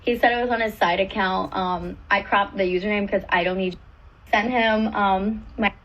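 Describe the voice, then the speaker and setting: A woman's voice: medium pitch, fairly even intonation, normal speed, a bit bright, muffled recording. A woman telling a personal story in a casual clip, hesitant and full of fillers.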